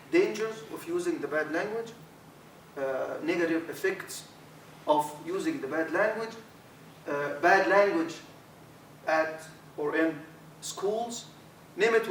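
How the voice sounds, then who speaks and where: Arabic-accented imam with a high voice. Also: male voice, unhurried. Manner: animated, repeats words, drops Arabic fillers. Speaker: imam teaching a workshop. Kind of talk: workshop talk to trainees. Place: university lecture room. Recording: echoey room, distant mic, faint hiss.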